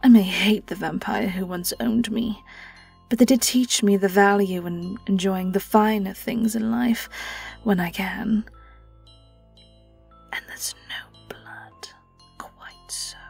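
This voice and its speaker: A woman performs a vengeful female vampire with a British accent in a horror audio drama. She speaks slowly and theatrically, mocking her prey and dropping into silky whispers. The voice sits back in an echoing space with music behind it. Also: medium pitch, darkish tone. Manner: taunting, theatrical, slipping into breathy whispers. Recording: roomy, distant, music behind.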